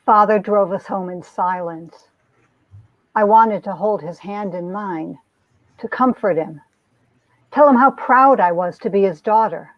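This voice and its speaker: A woman's voice: mid-range voice, dry recording, lightly acted. A woman playing an earnest young heroine telling her troubled story in a theatrical, emotive romance-comic reading. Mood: anguished. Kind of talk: dramatic comic-book reading, melodramatic first-person narration. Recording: dull, lo-fi recording.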